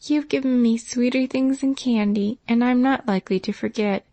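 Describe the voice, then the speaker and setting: A humble, grateful servant girl, as a woman reader plays her, speaking plainly in a raised voice. The tone is mellow and dry, in a storybook reading. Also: on the high side, expressive, unhurried, no room sound, pitched above the performer's own voice. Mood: earnest.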